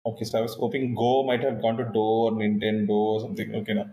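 A man's voice: fairly low pitch, level tone, quick pace, warm tone, a little hiss or hum. A man co-hosting a casual quiz podcast, thinking aloud, laughing at himself, then reading out a trivia question. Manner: self-deprecating, thinks aloud, laughs often. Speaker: co-host, quiz contestant.

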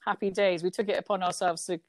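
A middle-aged woman from Kenya, a guest telling childhood stories in a relaxed, lively interview with frequent laughs. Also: fairly low pitch, fast talker, no echo, studio quality. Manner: lively, laughs often, many restarts. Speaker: guest, company founder. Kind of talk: casual conversational interview.

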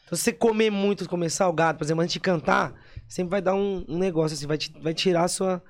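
A man's voice: high voice, quick pace. A man who sings in a duo, speaking in an easygoing way and often ending sentences with "né" in a casual interview. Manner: easygoing, lots of "né" tags. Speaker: singer in a duo.

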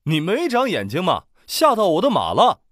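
A woman's voice: fairly low pitch, huge pitch swings, moderate pace, no room sound, studio quality, pitched below the performer's own voice. A woman voicing a nasty, angry carriage rider with a harsh, menacing manner in a children's storybook. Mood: angry scolding.

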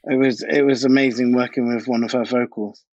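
A man's voice: mid-range voice, steady tone, fairly quick, darkish tone, dry recording, muffled recording. A man speaking as an interview guest in a casual, informal, slangy way. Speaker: DJ and music producer, interview guest.

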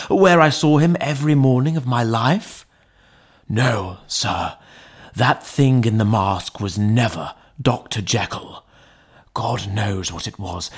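A man voicing an agitated, deferential elderly butler in an audiobook, loyal and frightened, speaking haltingly and emphatically. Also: fairly low pitch, husky, exaggerated voice. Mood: insistent, shaken. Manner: halting, emphatic, servile address. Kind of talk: dramatized audiobook reading.

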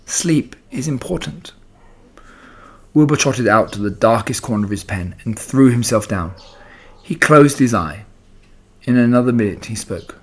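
A man narrating a children's book aloud in an expressive storytelling style. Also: fairly low pitch, normal speed, husky.

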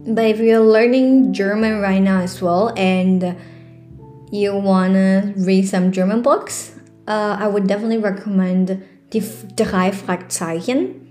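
A woman's voice: medium pitch, a little mellow. A young woman from China with a Chinese accent hosts her own podcast in a casual, earnest way, full of fillers and restarts. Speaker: podcast host. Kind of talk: casual solo podcast talk.

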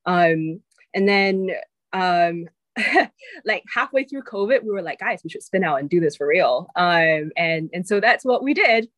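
Lowish singapore-american accented woman, chatty guest, lots of um and like, dry close sound. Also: lively intonation, unhurried, fairly bright tone, no room sound. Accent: mix of Singaporean and American English. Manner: chatty, many fillers like um and like. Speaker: venture capital partner, guest. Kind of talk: casual interview chat.

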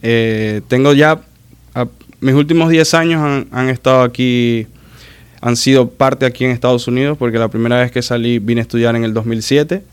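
Smooth-voiced man telling stories. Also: medium pitch, fairly even intonation. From Maracaibo, Venezuela. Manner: chatty storyteller, quick self-corrections. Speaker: guest, muralist. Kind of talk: casual interview chat.

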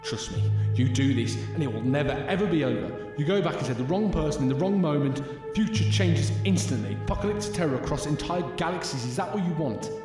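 A man's voice: on the high side, animated, quick pace, hardly any pauses, close to an everyday voice. A man acting an earnest, desperate time-travelling alien hero in an audio drama, imploring and emphatic. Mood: urgent warning. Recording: very echoey hall, loud music.

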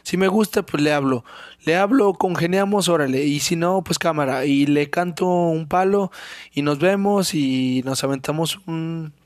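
Hoarse Mexican man, sick throat, cursing. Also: a little high, moderate pace, no room sound, clean studio sound. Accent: Mexican Spanish. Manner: rambling and profane, clears his throat often. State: sore, hoarse throat from illness. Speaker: podcast host. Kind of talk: casual solo podcast monologue.

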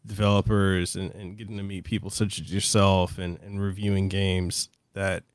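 A man hosting a podcast interview as a games journalist, who talks in an earnest, halting way with many "you know" fillers. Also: deep voice, level tone, no room sound.

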